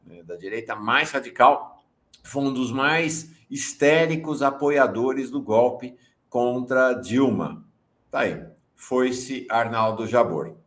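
A man's voice: medium pitch, slow, a bit bright. A middle-aged or older man, hosting and giving blunt, emphatic live political commentary. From São Paulo, Brazil. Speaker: news show host and commentator.

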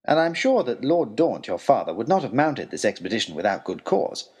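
An earnest, wordy tutor turned adventurer in an audio drama. His voice is husky and swings widely in pitch as he tells his tale with formal eloquence and wry self-mockery. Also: big pitch swings, fairly quick, darkish tone. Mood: thoughtful, wry. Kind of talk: dramatic reading of a letter, storytelling.

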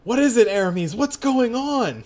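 A man's voice: animated, a little fast. A man voicing a tough young woman fighter who talks urgently. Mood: alarmed. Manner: urgent, pitched-up voice.

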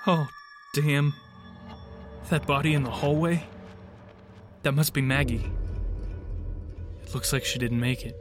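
A man's voice: medium pitch, unhurried, long pauses, music in the background, lightly acted. An actor playing a worried man shaken by horror in an audio drama. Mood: uneasy shock. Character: anxious male companion, shaken by horror.

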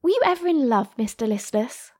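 A woman's voice: a little high, expressive, fairly quick, darkish tone, no room sound, clean studio sound. A woman playing a coquettish young lady in a classic novel reading, playful, arch and sweetly mocking.

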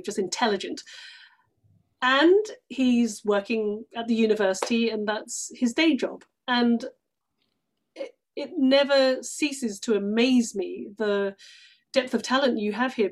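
Female, British accent, middle-aged, higher-pitched voice, effusive conversational interviewee. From London, England. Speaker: guest, stage actress.

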